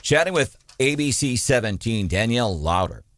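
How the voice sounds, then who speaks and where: A man hosting a morning radio show, chatting casually and reacting with lively exclamations from a studio. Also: mid-range voice, moderate pace, dry recording. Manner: animated, exclaims and laughs at quotes. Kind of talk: casual talk radio chat.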